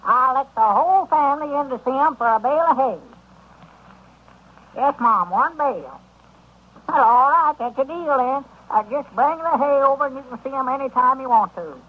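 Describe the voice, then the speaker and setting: An actor playing an excitable old country storekeeper with a rural Southern accent, folksy and stammering, in a 1930s radio comedy. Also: male voice, very high-pitched, moderate pace. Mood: eager. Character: excitable old country storekeeper turned would-be circus manager. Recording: dull, lo-fi 1930s radio recording.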